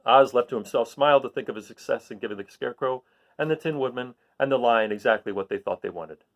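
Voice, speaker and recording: A man reading a storybook aloud as an expressive narrator. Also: mid-range voice, quick pace. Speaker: storybook reader.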